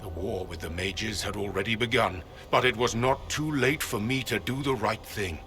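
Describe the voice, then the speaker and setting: An actor playing a grave, fanatical leader of a holy order in a video game, measured and lecturing. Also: male voice, lowish voice, a bit bright, slight background noise, close to an everyday voice. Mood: cold conviction. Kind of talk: dramatic video game villain monologue. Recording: prominent music behind the voice.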